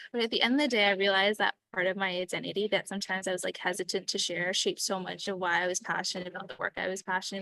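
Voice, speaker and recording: A young woman in her twenties speaks quickly and encouragingly, her words running together. She is on a video call with a dry, close sound. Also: mid-range voice, dry recording, low-quality recording. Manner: warm, encouraging, fast-flowing. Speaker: medical student panelist. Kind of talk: earnest panel advice.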